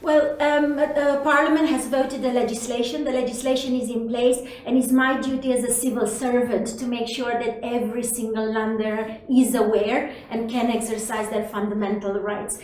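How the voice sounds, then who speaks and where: A woman from Romania speaks as a city official, earnest and emphatic as she urges people to take part. Her voice sits a little high and sounds quite far from the mic. The room around her is very echoey. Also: steady tone, moderate pace, few pauses, a little mellow. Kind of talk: interview answers.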